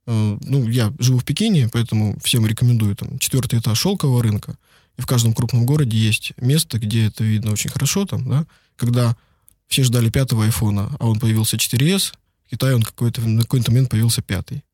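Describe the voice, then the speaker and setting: A man's voice: medium pitch, fast, darkish tone, husky, dry recording, studio quality. A man, a law firm partner, answering interview questions in a loose conversational way with many fillers. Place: lives and works in Beijing.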